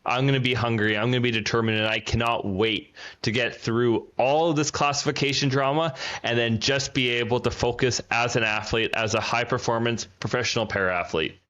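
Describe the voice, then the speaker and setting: A man's voice: medium pitch, steady tone, fairly quick, hardly any pauses, a bit bright. A man in his thirties, an athlete speaking in casual podcast chat, earnest and reflective in long flowing sentences. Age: 30s. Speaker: para cycling athlete. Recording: dull lo-fi sound.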